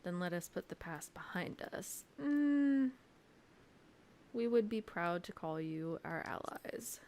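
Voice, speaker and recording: A woman's voice: lowish voice, expressive, slow, a little mellow. A woman game streamer giving live playthrough commentary and reading game text aloud.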